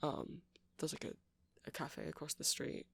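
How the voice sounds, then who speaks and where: An awkward young male vampire shopkeeper, acted by a woman, lowish and creaky, speaking very close in a dry space. He stammers, hedges and rambles apologetically, flustered and gentle in an ASMR audio drama. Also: sing-song, moderate pace, dry recording, heavily acted. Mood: friendly, rambling.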